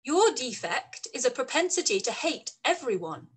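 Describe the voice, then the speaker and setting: A woman's voice: high-pitched, very animated, normal speed, husky, heavily acted. A young woman playing a witty, teasing Regency lady in a period radio play, wry and pointed. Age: young adult. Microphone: video call.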